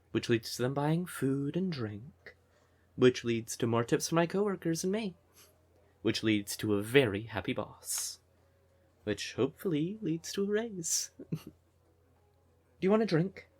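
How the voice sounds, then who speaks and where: Man acting a husky, slow-talking siren boyfriend. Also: a little high, big pitch swings, a little mellow. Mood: playful, upbeat. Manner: tender, unhurried, emotive, with pet names. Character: devoted male siren nightclub singer, affectionate boyfriend, easily exasperated. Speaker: voice actor in a boyfriend roleplay. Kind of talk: intimate ASMR audio roleplay.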